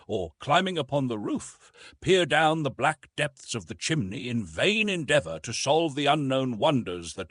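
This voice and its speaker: Man, audiobook narrator, scripted adventure fiction, husky edge, dry studio-like sound, dramatic delivery. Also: medium pitch, a bit bright, no echo.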